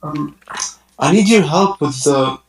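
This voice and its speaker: A man's voice: a little high, slow pace, echoey room, crowd noise, rough recording. A man whose first language is Arabic, calling in with a question, hesitant and full of fillers. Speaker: caller.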